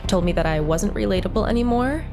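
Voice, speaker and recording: A woman's voice: mid-range voice, expressive, quick pace, natural voice. A woman playing a disgraced young beauty influencer, wry and hurt, being interviewed in a scripted drama. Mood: bitter, hurt. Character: wounded, bitter young female beauty influencer, publicly disgraced. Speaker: interview guest. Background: music bed.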